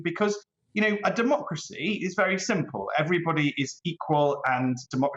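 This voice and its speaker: A British man speaks as an opinionated interview guest, articulate and emphatic, often repeating a phrase to drive it home. His voice sits a little high for a man. The sound is echoey and slightly dull, as if from a live room. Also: fairly bright tone. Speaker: barrister interviewed as a guest commentator. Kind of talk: opinionated current-affairs interview.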